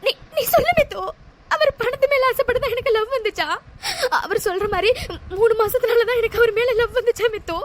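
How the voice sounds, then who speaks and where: High tearful jilted girl, stammering sobs, woman doing all the roles, hissy home recording. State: crying. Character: heartbroken young woman jilted by fiancé. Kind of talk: amateur Tamil audio drama. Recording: noisy home recording with hiss.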